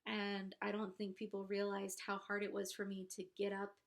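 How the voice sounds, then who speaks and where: A young adult woman with a congested nose talks casually and candidly to camera as a vlogger, in a halting, self-conscious way. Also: mid-range voice, completely flat delivery, creaky. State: congested, pregnant. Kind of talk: casual confessional talk to camera.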